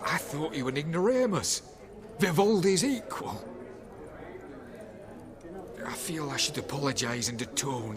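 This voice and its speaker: Florid, slow-spoken art connoisseur. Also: male voice, a little high. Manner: florid, genteel, condescending politeness. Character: affected, courteous male art connoisseur.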